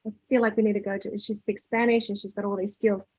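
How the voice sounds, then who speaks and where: A woman's voice: mid-range voice, level tone, fast talker, a little mellow, slightly rough, no echo. Woman giving a casual, dry-sounding inspirational talk. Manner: conversational, acts out dialogue, often checks in with listeners. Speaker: speaker leading a spiritual talk.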